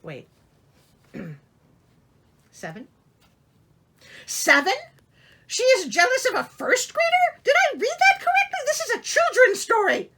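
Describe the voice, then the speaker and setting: A woman's voice: extremely high pitch, huge pitch swings, takes it slowly, pauses often, bright, forceful tone. A woman reading a fairy tale aloud expressively, with theatrical, incredulous and wry asides. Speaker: storyteller and host.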